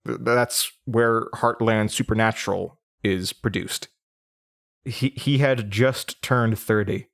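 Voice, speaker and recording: An actor playing an anxious, haunted young man in an audio drama, speaking in a halting, nervous, stammering confessional monologue. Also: fairly low pitch. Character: anxious, haunted young Midwestern man recalling traumatic memories.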